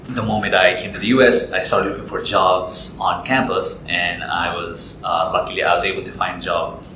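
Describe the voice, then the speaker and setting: A young man from Nepal, a guest in an interview, talking in a relaxed, rambling way with lots of fillers and restarts. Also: low-pitched, moderate pace, background noise. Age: young adult. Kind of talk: casual interview chat. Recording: echoey room with loud music.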